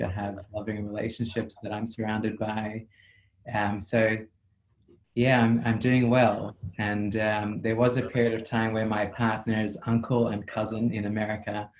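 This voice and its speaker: A psychotherapist with a South African accent, answering interview questions in a measured, reflective way over a video call, with frequent ums. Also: male voice, lowish voice, poor audio.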